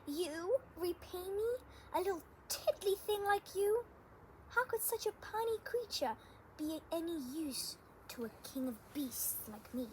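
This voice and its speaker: A proud storybook lion, king of beasts, put on by a woman, speaking slowly in a high voice. He is haughty and scornful, mocking as he goes. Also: a little hiss or hum, lightly acted, low-quality recording.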